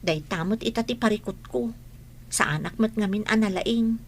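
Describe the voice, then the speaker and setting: A woman's voice: mid-range voice, moderate pace, natural voice. A woman playing a young student grandchild in a radio drama, eager yet questioning, with a Filipino accent. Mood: earnest.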